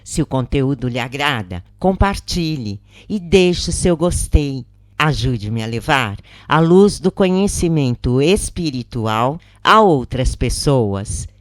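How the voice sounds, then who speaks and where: A woman with a Brazilian accent reading a first-person account as a measured, expressive audiobook narrator. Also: a bass voice, no echo, studio quality.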